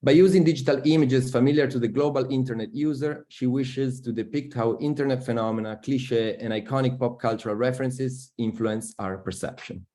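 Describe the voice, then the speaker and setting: A male host reads a prepared introduction for an online art talk. His delivery is measured and formal, his voice mellow and dark, at an ordinary pitch for a man. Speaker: event host. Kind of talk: reading a scripted introduction.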